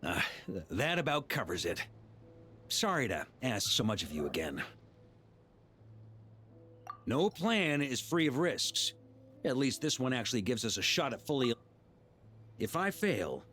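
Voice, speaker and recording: An actor playing a seasoned, easygoing and shrewd knight commander in a video game, speaking in a confident, conversational way. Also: male voice, mid-range voice, big pitch swings, unhurried, long pauses, a bit bright. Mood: resolute planning. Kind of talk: English video game voice acting. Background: background music.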